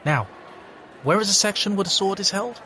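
Audio drama alien, male actor: literal-minded, sober, stiffly formal deadpan, a touch high in pitch. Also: moderate pace. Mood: deadpan, serious. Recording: faint background ambience noise.

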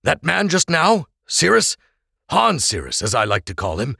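Man voicing a weary rebel-leader father, gravelly. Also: a little high, huge pitch swings, normal speed, bright, forceful tone, no echo, studio quality, pitched above the performer's own voice, heavily acted. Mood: explaining. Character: weary middle-aged male rebel leader, protective father.

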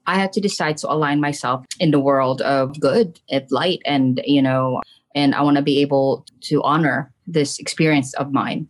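Podcast guest: woman, middle-aged, low register, from the Philippines, casual and candid personal storytelling with emphatic check-ins. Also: fairly even intonation, normal speed. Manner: candid, emphatic, often checks in with "right" and "you know". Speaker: podcast guest, trauma coach and spiritual medium.

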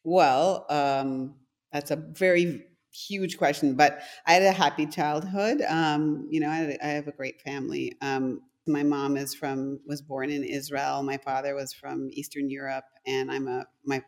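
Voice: mid-range voice, flat delivery, unhurried. A speaker in their fifties, raised in Canada with German as a first language, talking directly through long stories with many restarts as an interview guest. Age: 50s. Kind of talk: casual interview talk.

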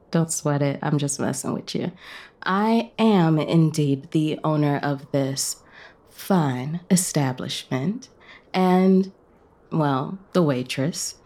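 A woman's voice: low voice, darkish tone, exaggerated voice. A woman playing a playful, friendly diner owner who teases breezily, in a romantic audio drama. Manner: teasing, breezy banter.

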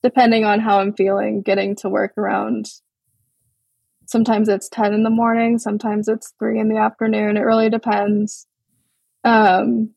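Woman from Hawaii, young adult, husky and gravelly, flat even tone, dry close sound. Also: mid-range voice, dry recording. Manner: relaxed, thoughtful, many ums and restarts. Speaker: guest artist. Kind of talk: casual interview over a call.

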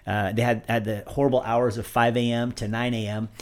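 A man's voice: medium pitch, flat delivery, fairly quick, a little mellow. A man, a gym owner chatting casually as a podcast guest, easygoing and full of uh's. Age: 40s. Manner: easygoing, many fillers and uh's. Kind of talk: casual interview chat.

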